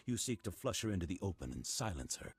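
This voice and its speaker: A stern old Jedi master from a video game. The voice is extremely deep and heavily husked. He speaks formally and solemnly, calm and unyielding, with measured weight. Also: no room sound, full character voice. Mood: stern, knowing. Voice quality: very deep, husky.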